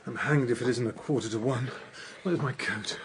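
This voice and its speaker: An actor playing a brisk, sceptical Victorian gentleman guest in a radio drama. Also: medium pitch, background noise, close to an everyday voice.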